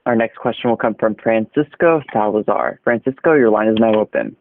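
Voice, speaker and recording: A man's voice: on the low side, a little fast, no room sound. A man making scripted announcements as a conference call operator, over a telephone line. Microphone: phone on a conference call.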